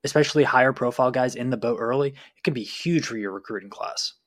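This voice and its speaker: Male co-host for casual sports analysis, mid-pitched, with a dry studio-like sound free of echo. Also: quick pace, a bit bright.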